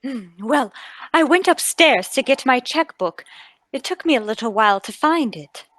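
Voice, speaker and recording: Woman playing a genteel titled lady, high swooping voice, unhurried, refined, dramatic, radio play. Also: expressive, a bit bright, no room sound. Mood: grieving. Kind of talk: radio play table read.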